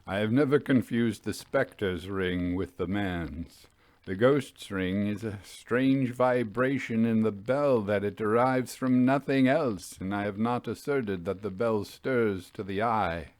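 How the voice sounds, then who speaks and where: A man voicing a troubled, haunted railway signal-man, earnest and deferential, in a halting, deliberate dramatic ghost-story reading. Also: fairly low pitch, a little mellow, dry recording, lightly acted. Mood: firm, insistent.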